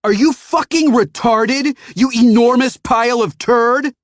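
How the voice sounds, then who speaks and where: A man voicing a rude, foul-mouthed adult man in cowboy boots who shouts abuse in a sneering manner. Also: very high voice, measured pace, no echo, clean studio sound, pitched above the performer's own voice. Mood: enraged.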